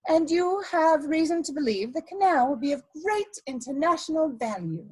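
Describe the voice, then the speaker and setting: A woman playing an elegant, ruthless blackmailer in a dramatic reading of a period play, speaking in a deliberate, imperious way. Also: high voice, lively intonation, warm tone, poor audio. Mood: coolly menacing. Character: elegant scheming female blackmailer, coolly ruthless society lady.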